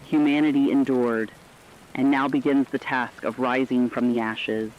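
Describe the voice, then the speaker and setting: A man playing an earnest radio news co-anchor, giving grave, measured scripted reports on a disaster. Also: mid-range voice, fairly even intonation, lightly acted. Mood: earnest hope. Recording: dull lo-fi sound with faint noise.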